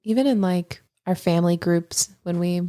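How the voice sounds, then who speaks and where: A woman's voice: fairly low pitch, normal speed, mellow. A woman co-hosting a podcast, chatty and upbeat, laughing easily while she talks. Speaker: podcast co-host. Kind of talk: casual podcast chat.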